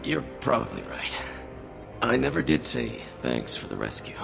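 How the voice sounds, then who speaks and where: A man voicing a weary, grateful alien freed from captivity in a video game, gravelly and subdued. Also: mid-range voice, wildly swooping pitch, normal speed, over music, full character voice. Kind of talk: scripted video game voice acting.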